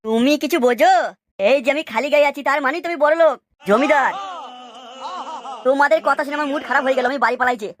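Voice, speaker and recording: Casting: woman as bragging cartoon landlord's boy, high-pitched, rattling off haughty scolding at top speed. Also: fast, slight background noise, close to an everyday voice. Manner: pompous, scolding. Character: boastful, touchy young landlord's son, cartoon boy. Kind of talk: exaggerated comic cartoon dialogue.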